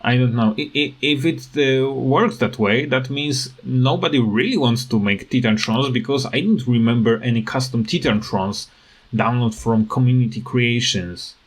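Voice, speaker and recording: A man in a casual rant over game footage, speaking with halting, non-native phrasing as a gaming video creator. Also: mid-range voice.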